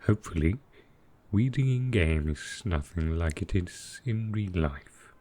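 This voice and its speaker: A man voices a dragon boyfriend in an intimate audio roleplay. He is very low and unhurried, thinking aloud with doting, innocent earnestness. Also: lively intonation. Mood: curious, delighted. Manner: slow, musing, softly doting, naive. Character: gentle, earnest male dragon boyfriend, new to technology.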